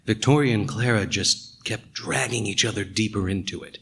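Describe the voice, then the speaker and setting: A wry noir storyteller narrates a radio drama in a lowish male voice. He sounds world-weary and sardonic, over faint background noise. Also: moderate pace, gravelly, fairly bright tone. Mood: wry, brooding.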